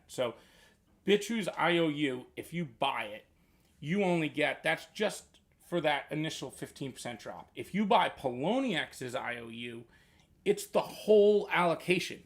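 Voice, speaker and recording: A man hosting a casual livestream, reading viewer questions and explaining at length. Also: fairly high pitch. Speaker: livestream host.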